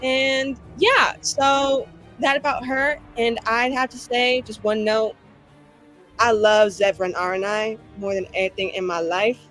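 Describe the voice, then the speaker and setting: A woman's voice: a little high, steady tone, a little slow, fairly bright tone, faint music behind. A woman playing a tabletop game, chatting casually in an emphatic, irreverent way. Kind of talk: casual chat.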